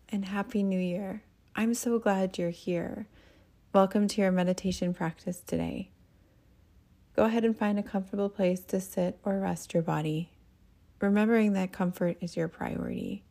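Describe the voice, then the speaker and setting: A woman leading a guided meditation as a teacher, speaking in a gentle, soothing manner with breathy phrase endings. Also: mid-range voice.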